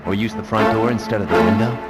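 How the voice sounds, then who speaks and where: An actor playing a dry, weary, scolding newspaper reporter in a video game. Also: male voice, lowish voice, fast talker, loud music behind the voice, lightly acted. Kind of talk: video game cutscene acting.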